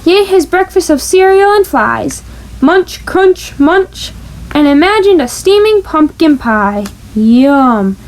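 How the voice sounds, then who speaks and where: Female picture-book reader: high, very animated, unhurried, playful with sound-effect words. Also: low-quality recording. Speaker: storybook reader. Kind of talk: animated children's storybook read-aloud.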